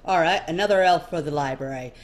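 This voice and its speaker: A woman voicing a busy male recruiter at a fantasy fortress, brisk, loud and businesslike, in an audiobook. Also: low voice, close to an everyday voice. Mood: brisk, hurried.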